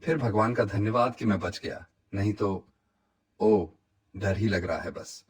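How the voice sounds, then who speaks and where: A worn, worried village labourer in a cartoon moral tale, played by a man. His voice is low and husky, and he frets and exclaims as if talking to himself. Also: a little slow. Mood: anxious relief. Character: weary, anxious poor village man seeking work, a father. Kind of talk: cartoon story acting.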